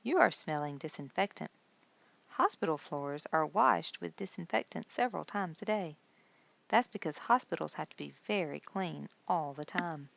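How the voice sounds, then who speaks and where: A woman's voice: lowish voice, lively intonation, moderate pace, vocal fry, a bit bright, lo-fi sound, slight background noise, heavily acted. A woman voicing a kindly hospital nurse who explains things patiently and reassuringly in a storybook. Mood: patient, informative. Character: kindly adult female hospital nurse guide.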